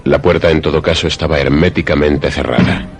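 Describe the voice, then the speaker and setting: A man narrating a scripted suspense radio play in a measured, literary storytelling manner. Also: very deep voice. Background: music under the voice.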